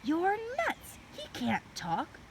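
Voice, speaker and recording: Woman doing a doubtful bookish little boy in a kids story, lively pitch, bit exasperated. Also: slow, noisy background, exaggerated voice. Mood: alarmed, exasperated. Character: cautious, skeptical, studious young boy.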